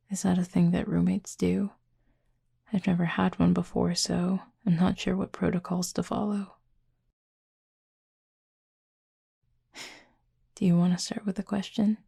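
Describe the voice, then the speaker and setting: A woman playing a reserved, gently teasing cupid roommate in an intimate audio roleplay, speaking softly and breathily in a dry, measured way. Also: on the low side, creaky, breathy edge, exaggerated voice. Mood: curious, slightly awkward. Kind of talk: intimate roleplay audio drama, addressing the listener.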